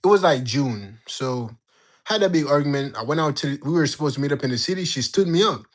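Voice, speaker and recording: A young man in his late teens from Jamaica, telling a story in a slangy, animated way while bantering with his livestream chat. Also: on the high side, fairly quick. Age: young adult. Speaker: livestream host. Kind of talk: casual storytelling to live chat.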